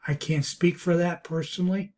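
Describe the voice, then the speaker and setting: A man narrating a software review walkthrough in halting, piecemeal phrases. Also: sits high, steady tone. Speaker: product reviewer. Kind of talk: screen-recorded software walkthrough. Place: voice-over recorded over a screen capture.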